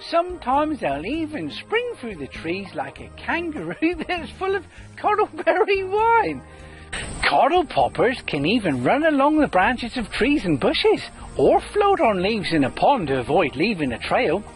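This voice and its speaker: A woman's voice: fairly high pitch, wildly swooping pitch, measured pace, faint music behind, low-quality recording. A woman narrating a children's storybook in a playful, teasing way, chuckling between lines. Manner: chuckling, teasing, sing-song emphasis. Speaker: storyteller and author.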